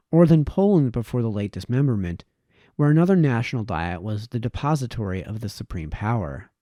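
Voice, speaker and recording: A man reading a formal historical essay aloud with measured phrasing. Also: medium pitch, warm tone, no room sound, clean studio sound. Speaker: reader, host of a reading series.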